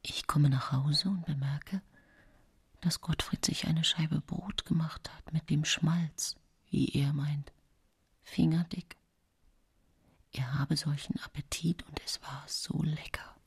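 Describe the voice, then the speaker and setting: An actress playing a guilt-haunted murderous widow in a radio drama, confessing in a breathy near-whisper. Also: female voice, low voice, slow, long pauses, no room sound, clean studio sound, heavily acted. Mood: hushed, uneasy. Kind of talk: intimate radio drama monologue.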